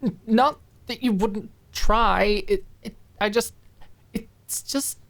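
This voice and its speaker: Lady doing a nervous guarded young woman, bit higher, stammery and trailing off, audio drama. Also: slow. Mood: embarrassed, evasive. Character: flustered, guarded, lovesick young woman hiding her feelings.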